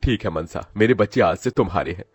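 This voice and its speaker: Low, soft-spoken family man, acted by a man. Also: expressive, fast, husky, no echo, dull audio, exaggerated voice. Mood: grateful, tender. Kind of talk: dramatised moral story voice acting.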